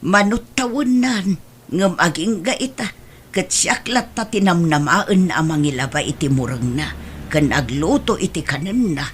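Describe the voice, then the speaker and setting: A woman's voice: fairly low pitch, expressive, unhurried. A woman playing a meek, self-sacrificing older mother in an Ilocano-accented audio drama, pleading and apologetic in manner. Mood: weary, confiding. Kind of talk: amateur radio-style Ilocano audio drama. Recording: background noise and ambience.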